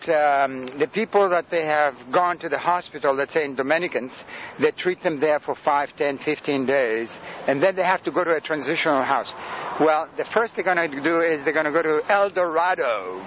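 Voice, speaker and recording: A tired man in his sixties from Sudan, raised on Greek and Arabic, rambles and hesitates in a fairly high voice. The recording is muffled and a little lo-fi, with faint street noise behind him. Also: moderate pace. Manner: rambling, hesitant, laughs often. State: tired from lack of sleep. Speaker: interviewee. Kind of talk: casual street interview.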